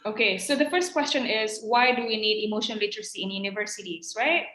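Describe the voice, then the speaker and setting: A woman speaking as a guest in a casual podcast conversation, lively and playful. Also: medium pitch, quick pace, roomy sound. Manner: lively, playful, reads foreign sayings aloud. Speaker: guest speaker on emotional literacy.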